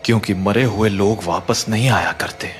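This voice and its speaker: A man acting the solemn, haunted dad of a village horror radio play, low and earnest over soft background music. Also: moderate pace, natural voice. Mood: grave, sorrowful. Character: grave, troubled village father. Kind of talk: Hindi audio horror drama acting.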